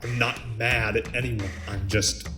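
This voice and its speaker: A man playing a gruff, irritable spaceship captain in an audio drama, with terse delivery. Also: fairly low pitch, fairly even intonation, a little slow, over music, natural voice. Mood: exasperated. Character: gruff, short-tempered male spaceship captain of mercenaries.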